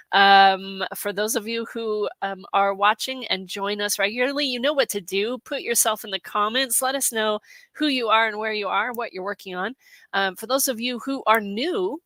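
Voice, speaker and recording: Slightly hoarse chatty woman host. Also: mid-range voice, normal speed, fairly bright tone, no room sound. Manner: chatty, lots of fillers, reads out comments. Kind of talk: casual livestream chat. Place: home in Colorado.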